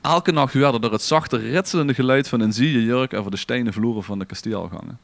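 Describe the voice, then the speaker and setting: A man reading a legend aloud in a relaxed, theatrical storytelling manner. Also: mid-range voice, a little fast, creaky, no room sound. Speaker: podcast host. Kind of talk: dramatic read-aloud storytelling.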